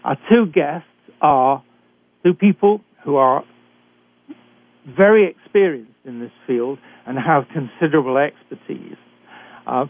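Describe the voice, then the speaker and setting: An older British man hosting a talk radio show, introducing guests in a measured, deliberate way with occasional hesitations. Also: fairly high pitch, dry recording. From Britain. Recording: dry, tinny phone-like line.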